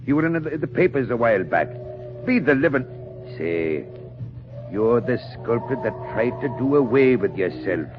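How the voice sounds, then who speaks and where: A man's voice: mid-range voice, very animated, normal speed, natural voice. An actor playing a hearty, talkative Irish policeman in a folksy, blustering manner in a 1940s radio drama. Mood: curious, hearty. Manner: folksy, roundabout, blustering but good-natured. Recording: muffled old radio broadcast with music.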